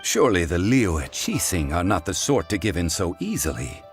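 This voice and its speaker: A dignified ancient consultant in a video game, his voice sitting very low and steady. He speaks formally and deliberately, never rushing a word. Game music plays softly beneath him. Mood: composed. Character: ancient calm male consultant, dignified and composed. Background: soft game music.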